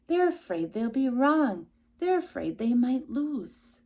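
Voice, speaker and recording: A woman reading a rhyming picture book aloud to a young child as a storytime host, expressive and emphatic, with little asides to the listener. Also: fairly high pitch, slow.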